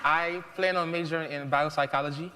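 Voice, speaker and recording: A man's voice: a little high, steady tone, brisk, a crowd in the background, noisy background. Earnest young man from Haiti, hall echo. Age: young adult. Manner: earnest, with many fillers. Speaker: mentee. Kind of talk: prepared speech on a panel. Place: large event hall. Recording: long hall reverb.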